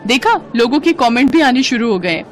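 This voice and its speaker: Woman acting a kind, eager young woman, soft music. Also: fairly high pitch, animated, brisk, natural voice. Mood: cheerful, encouraging. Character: kind, helpful young woman customer, social-media food blogger. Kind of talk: storybook character acting.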